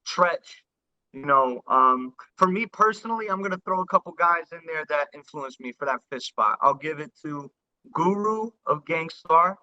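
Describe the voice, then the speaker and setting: A man in his thirties from the New York and New Jersey area, a rapper telling his story in a casual interview, earnest and halting with many restarts. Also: a little high, sharp tone. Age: 30s. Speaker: rapper guest. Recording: dull lo-fi sound.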